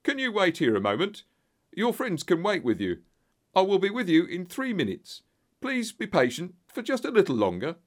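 Guy doing a nervous desperate company boss in an audiobook, high voice all over the place, over-eager and pleading. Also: wildly swooping pitch, moderate pace. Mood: strained politeness. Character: panicky, desperate male company director, ingratiating and terrified.

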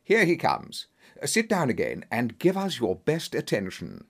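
A man voicing a confident, observant gentleman detective in a storybook, with an assured, brisk and dry manner. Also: medium pitch, huge pitch swings, heavily acted. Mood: insistent, eager.

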